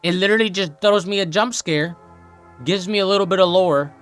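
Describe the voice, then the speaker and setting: A man's voice: high-pitched, brisk, a bit bright, faint music behind. A young American man giving casual, joking reaction commentary in exclamatory slang. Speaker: host of a reaction channel.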